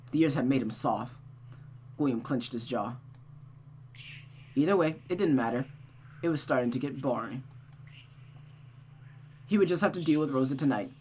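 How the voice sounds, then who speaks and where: A streamer narrates a visual novel like a dramatic storyteller. The voice sits at a middling pitch, with a clear hum and noise behind it. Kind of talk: reading a visual novel aloud with reactions. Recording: noticeable background hum and noise.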